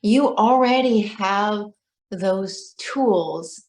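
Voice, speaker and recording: A woman life coach speaking slowly and softly on a video call, reflecting back what she hears. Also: medium pitch. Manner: slow, soft-spoken, reflective. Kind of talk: gentle coaching session. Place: home room on a video call.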